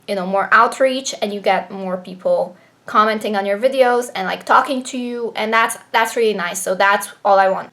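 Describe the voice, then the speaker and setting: A woman's voice: on the high side, fairly bright tone. A young woman from Slovenia with a Slovenian accent, chatting to camera as a booktuber in a rambling, filler-heavy way. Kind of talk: casual chat to camera. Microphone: camera propped on desk.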